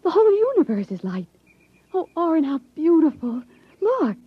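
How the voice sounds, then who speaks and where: An actress playing a devoted young scientist in a 1950s radio drama, with an earnest, breathless, melodramatic delivery. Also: female voice, high voice, very animated, moderate pace, no room sound. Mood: excited wonder. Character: devoted young female scientist fleeing an underground future society. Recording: muffled, husky-sounding 1950s radio recording.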